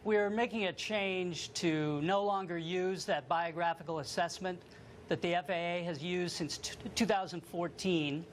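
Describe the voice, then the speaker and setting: An American man giving formal explanations as an interviewed government lawyer, in a measured, careful, sometimes halting manner. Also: sits high, steady tone, slight background noise.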